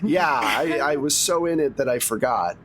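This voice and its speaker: A man's voice: mid-range voice, lively intonation, moderate pace, a loud crowd. A man acting as game master, narrating a tabletop role-playing game in an informal, conversational way.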